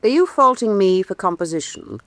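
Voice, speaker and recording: A woman's voice: mid-range voice, sing-song, moderate pace, no room sound. An actress playing a proud, defiant and passionate woman painter in a historical radio drama, direct and assertive in manner. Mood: challenging. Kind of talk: full-cast radio drama acting.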